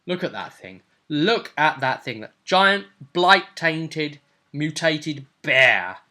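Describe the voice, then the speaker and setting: A man's voice: a little high, big pitch swings, slow, bright, forceful tone. A man hosting as game master, casually recapping a tabletop role-play session and repeating phrases for dramatic emphasis. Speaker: game master and vidcast host.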